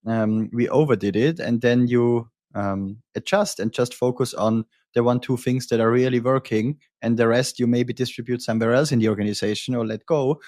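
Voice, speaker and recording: Man, podcast host, mid-pitched voice, dry close mic, measured, reflective, frequent ums. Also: steady tone, darkish tone, dry recording. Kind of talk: conversational interview.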